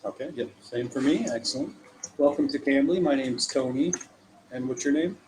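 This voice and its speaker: A man's voice: medium pitch, moderate pace, a little mellow, slight background noise, poor audio. A young man from the USA, an English tutor, chatting in a casual, encouraging way on a video call, with plenty of fillers. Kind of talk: casual online lesson chat.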